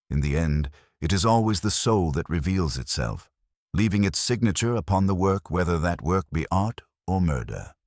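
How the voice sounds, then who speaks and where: A fussy older Belgian detective muses aloud in a measured, murmuring way, as a narrator puts him on. The voice sits extremely low with a lively rise and fall. It sounds close and dry, with no room around it. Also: male voice, no room sound, exaggerated voice.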